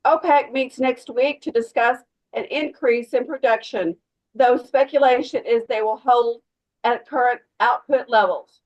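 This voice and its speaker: Woman playing higher-pitched radio news anchor. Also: measured pace, a little mellow, highs cut off. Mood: matter-of-fact. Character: female radio news anchor reading oil market report. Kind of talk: news report read in a table read.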